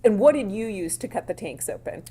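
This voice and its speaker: A woman attorney questions a witness in court in a loud, mid-pitched voice. She keeps her tone conversational and plainspoken, firing off quick follow-up questions. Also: lively intonation, breathy edge.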